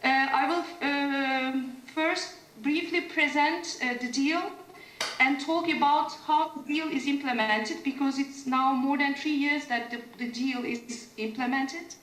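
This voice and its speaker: A woman from Turkey gives an academic presentation remotely, her voice high and unhurried. She hesitates often, restarting phrases and filling pauses. The call audio has a roomy echo. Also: steady tone, a bit bright, slight background noise. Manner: hesitant, many fillers and restarts. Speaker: conference panelist. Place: room in Germany. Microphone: video call.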